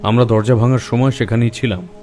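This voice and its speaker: A man's voice: mid-range voice, fairly even intonation, a little fast, dark tone, natural voice. Actor as harried policeman over background music. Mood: earnest, puzzled. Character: harried male police officer reporting a baffling murder case. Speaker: actor in an audio detective story. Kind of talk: Bengali audio drama acting.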